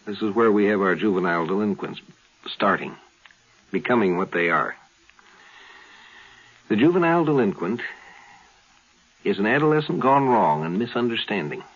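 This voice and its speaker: A narrow, phone-like old radio recording of a man with a fairly low voice. He explains things like a lecturer, in halting, deliberate phrases. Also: animated, breathy edge.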